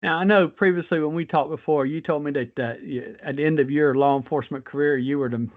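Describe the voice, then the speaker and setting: Guy on a thin phone-like connection, highish voice, casual folksy host asking rambling questions. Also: steady tone, fast. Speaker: guest host, former patrol officer. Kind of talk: casual conversational interviewing. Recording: tinny phone-like line.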